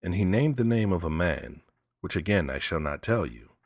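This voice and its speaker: A man narrating a story as an audiobook reader, in measured, simple phrasing. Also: low voice, animated, a little mellow, no echo, studio quality.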